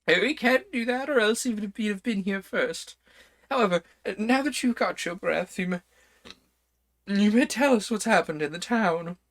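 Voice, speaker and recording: Storybook read-aloud: man playing a fussy old king, high-pitched and exclaiming, bright tone. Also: expressive, normal speed.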